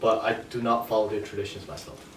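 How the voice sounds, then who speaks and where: Fast-talking young man, roomy distant sound. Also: on the low side, flat delivery. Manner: breezy, slangy. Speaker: guest in a group discussion. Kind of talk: casual chat. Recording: roomy, from a distance, faint noise.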